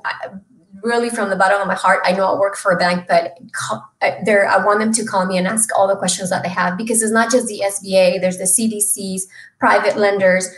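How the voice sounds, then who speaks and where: A woman's voice: medium pitch, a bit bright. Earnest woman from Mexico on a video call. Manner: earnest, with many fillers and restarts. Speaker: guest, small business loan expert. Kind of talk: casual interview chat. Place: home room. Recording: roomy video call audio.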